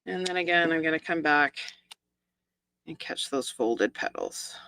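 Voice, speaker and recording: A middle-aged woman with a croaky, hoarse voice, chatting casually as she demonstrates a stamping and card-making project step by step. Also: low voice, lively intonation, unhurried, pushed and bright, slight background noise, rough recording. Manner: chatty, explains each step with asides and small laughs. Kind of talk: casual live craft tutorial.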